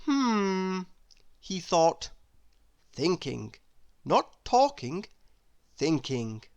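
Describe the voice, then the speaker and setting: Female children's storybook narrator; low register, extremely lively intonation, expressive read-aloud delivery. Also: measured pace.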